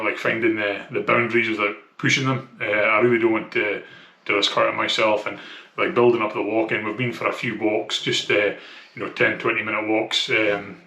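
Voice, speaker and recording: A man's voice: on the low side, pushed and bright. A man with a thick Scottish accent talking casually and candidly in a personal vlog, with hesitant, conversational phrasing. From Scotland. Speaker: vlogger. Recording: echoey room.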